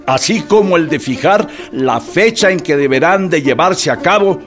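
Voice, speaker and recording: An actor playing a pompous, authoritative revolutionary leader dictating an official decree in a radio drama. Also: male voice, a little high, animated, moderate pace, a bit bright, soft background music.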